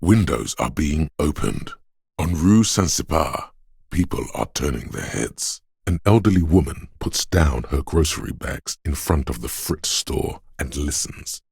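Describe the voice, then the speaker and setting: An actor voicing a brooding, poetic inner sense of a haunted city in solemn, measured, atmospheric game narration, very deep and gravelly. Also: male voice, expressive, a little mellow, no room sound, clean studio sound, exaggerated voice. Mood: brooding, ominous.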